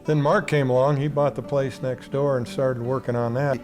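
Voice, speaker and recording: A man's voice: medium pitch, fairly even intonation, moderate pace. Middle-aged man reminiscing, faint guitar behind. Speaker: theater owner being interviewed. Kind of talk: casual reminiscing interview.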